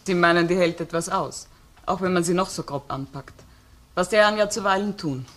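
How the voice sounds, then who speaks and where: A woman's voice: fairly low pitch, steady tone, fairly quick, natural voice. A woman playing a well-bred lady under police questioning in a crime drama, formal and defensive. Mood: cool, guarded. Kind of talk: crime radio play acting.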